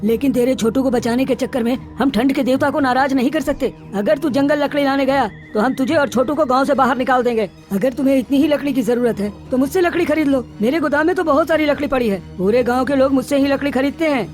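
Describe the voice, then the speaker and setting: A woman puts on a bossy village chief for a cartoon tale. He is domineering and sly, hectoring in a high-set voice over faint music. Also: fast, few pauses, a little mellow, lightly acted. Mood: bossy, warning. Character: bossy scheming male village headman.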